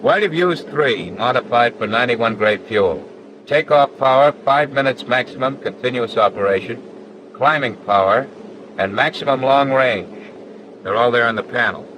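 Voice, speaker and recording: Male actor as flight instructor, medium pitch, measured, matter-of-fact, 1940s film soundtrack, slight background noise. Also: animated, a bit bright, natural voice, rough recording. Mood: firm, instructive. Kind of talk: 1940s training film acting.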